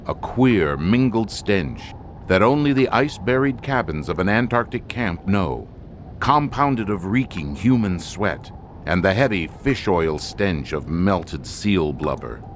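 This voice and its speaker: A man narrating a radio horror drama in an old-time suspense style, grave, deliberate and dramatic. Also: deep voice, animated, a little hiss or hum. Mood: ominous. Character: grave, ominous male narrator of a horror tale. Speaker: announcer and narrator.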